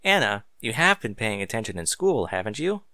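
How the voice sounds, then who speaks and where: A man voicing a caring, harried mother in a storybook narration, gently coaxing and fretful. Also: lowish voice, big pitch swings, fairly quick, no echo, studio quality. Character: caring, harried mother, cheerful but worried. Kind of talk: audiobook character dialogue.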